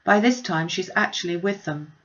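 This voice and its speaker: A woman voicing a frank, sceptical young gentlewoman in a classic novel, speaking firmly and with emphasis. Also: fairly low pitch, brisk, muffled recording, natural voice. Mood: indignant. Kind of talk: classic novel read aloud.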